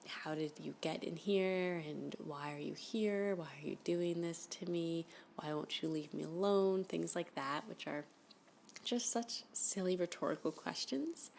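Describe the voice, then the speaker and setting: Casting: actress as smitten, unhinged stalker woman for ASMR roleplay; lowish voice with vocal fry, coy hesitant rambling, intimate half-whispers. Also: darkish tone, a little hiss or hum. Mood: flattered, flirty. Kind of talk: ASMR roleplay, intimate one-sided dialogue.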